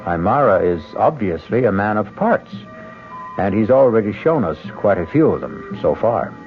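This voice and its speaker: Muffled old radio, male host, low voice, music behind, measured narration. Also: sing-song. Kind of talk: 1970s scripted radio narration.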